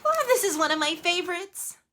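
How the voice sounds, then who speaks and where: A woman playing a sweet, excitable young mermaid in a storytime performance, eager, playful and gushing. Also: very high-pitched, brisk, exaggerated voice. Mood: pleading, eager. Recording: clear ambient noise behind voice.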